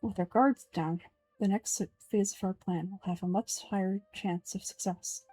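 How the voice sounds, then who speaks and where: Female amateur game voice actor, measured and earnest, soft-toned delivery, close dry sound with music underneath. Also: medium pitch, steady tone, husky, dry recording, low-quality recording. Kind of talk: dramatic reading of game script.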